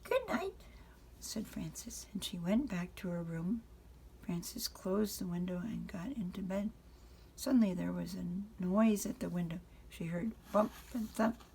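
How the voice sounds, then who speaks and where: An older woman, a grandmother, reading a children's picture book aloud in an unhurried way, pausing to address the children. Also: on the low side, steady tone, gravelly, mellow, a little hiss or hum, low-quality recording.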